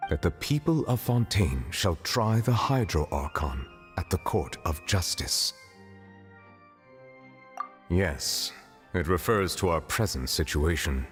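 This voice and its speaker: Deep-voiced solemn man of authority. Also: big pitch swings, faint music behind, heavily acted. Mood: measured, correcting. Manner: formal, measured, pedantic. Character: solemn, composed man of authority explaining hidden history. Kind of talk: game story dialogue, formal exposition.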